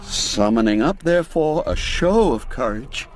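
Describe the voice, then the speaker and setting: A man narrating a classic ghost story as a dramatic reading, measured and full of deliberate pauses. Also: mid-range voice, very animated, faint music behind.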